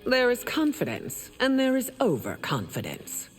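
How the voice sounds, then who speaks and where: A woman's voice: on the high side, wildly swooping pitch, moderate pace, faint music behind. An actress playing a regal, disdainful female empress in a video game, with a cool, condescending delivery.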